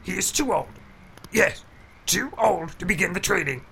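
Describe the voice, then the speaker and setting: A man playing a tiny ancient alien master in an audio drama, mischievous, cranky and wise, with a croaky voice and inverted phrasing. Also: sits high, animated, moderate pace, pushed and bright, slight background noise, heavily acted. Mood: grave, stern. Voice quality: croaky, gravelly. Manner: inverted syntax, chuckles and hums between phrases. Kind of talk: audio drama character acting.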